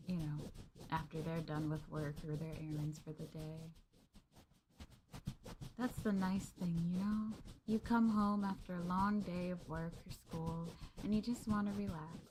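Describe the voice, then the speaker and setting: Soft-spoken young woman in maid roleplay. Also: fairly low pitch, fairly even intonation, moderate pace, background noise. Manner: gentle, sweet, addresses listeners as master. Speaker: streamer. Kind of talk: maid roleplay ASMR chat with viewers.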